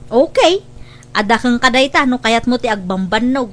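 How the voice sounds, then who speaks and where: A woman's voice: a little high, lively intonation, normal speed, lightly acted. An actress playing a pushy, money-anxious village woman in a scripted comedy drama, coaxing and insistent. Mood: worried, pleading.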